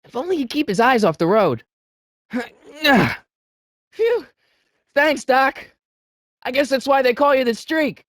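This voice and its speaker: A man voicing an earnest, excitable teenage boy adventurer in a video game, prone to stammering when flustered. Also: very high voice, takes it slowly, long pauses, dry recording, studio quality, full character voice. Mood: anxious, scheming.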